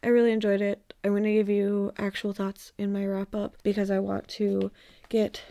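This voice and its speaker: A woman with a hoarse voice, worn out from staying awake all night, gives a casual vlog update at home. She talks in a tired, rambling half-whisper so she won't wake anyone. Her pitch sits in an ordinary middle range. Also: completely flat delivery, normal speed, dark tone, a little hiss or hum. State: exhausted from no sleep. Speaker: book vlogger.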